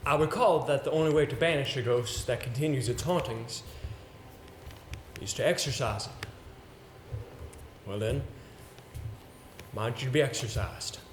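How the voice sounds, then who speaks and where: A man acting on stage as a solemn, haunted gentleman, giving a grave, measured and formal dramatic monologue. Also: medium pitch, close to an everyday voice. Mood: solemn, resolute. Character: solemn, haunted gentleman narrating his ghost story. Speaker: amateur stage actor. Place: indoor theater stage. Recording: roomy, noisy live stage recording.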